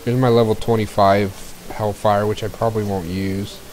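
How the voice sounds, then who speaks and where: A low-pitched man chatting through a video game stream from his home setup. He sounds a little scratchy as he gets over a cold, rambling easily from item to item with fillers and the occasional throat clear. A cheap desk mic carries his voice, with a faint hiss behind it. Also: little pitch movement, normal speed, darkish tone. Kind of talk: casual gameplay commentary.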